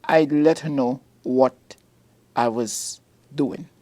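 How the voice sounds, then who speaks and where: Slow-talking Caribbean man, mixed accent. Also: mid-range voice, no echo. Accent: mixed Vincentian and Trinidadian. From Trinidad. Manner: short, soft-spoken replies. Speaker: patient guest. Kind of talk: interview conversation.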